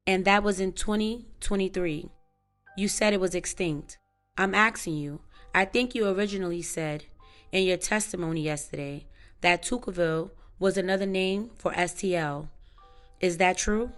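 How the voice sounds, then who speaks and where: A woman's voice: fairly low pitch, moderate pace, faint music behind. Formal woman questioning a witness. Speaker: prosecutor. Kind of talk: courtroom questioning. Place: courtroom.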